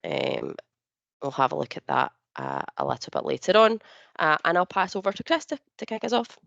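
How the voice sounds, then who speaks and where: A woman's voice: sits low, huge pitch swings, a little fast, gravelly, fairly bright tone, dry recording, lo-fi sound. Hesitant woman hosting a webinar call. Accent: not local to the northeast of England. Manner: hesitant, many fillers and restarts. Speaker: webinar host and partner manager.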